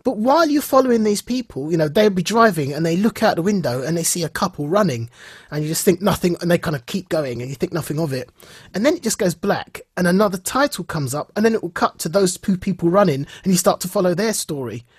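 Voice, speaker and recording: A man co-hosting a podcast, recounting a show in casual, animated chat and repeating phrases for emphasis. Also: high-pitched, fast talker, breathy edge, no room sound, clean studio sound. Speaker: podcast co-host.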